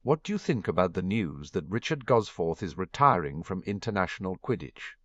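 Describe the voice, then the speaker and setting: A man voicing a friendly, easygoing teenage boy in a relaxed, conversational way. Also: deep voice, normal speed, no echo. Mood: rueful. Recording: dull lo-fi dry recording.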